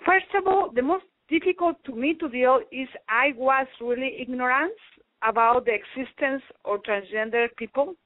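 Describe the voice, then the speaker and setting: A middle-aged woman from Mexico, a native Spanish speaker, speaking as a radio guest in careful, halting English with many restarts. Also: high voice, no echo. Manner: halting, searching for words, restarts. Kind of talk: call-in radio interview. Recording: tinny phone line.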